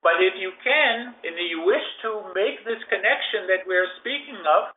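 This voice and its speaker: A man channeling a spirit, giving spiritual guidance in a formal, unhurried way and speaking of himself as "we". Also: high-pitched, animated. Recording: echoey, tinny phone-like recording.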